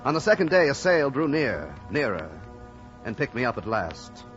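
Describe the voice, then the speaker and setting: Solemn shipwrecked sailor, dramatic radio-play narration, incantatory, dull vintage recording, loud orchestral backing. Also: male voice, medium pitch, very animated, moderate pace. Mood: somber, elegiac. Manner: grave, dramatic, incantatory repetition. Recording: old muffled radio recording, music behind.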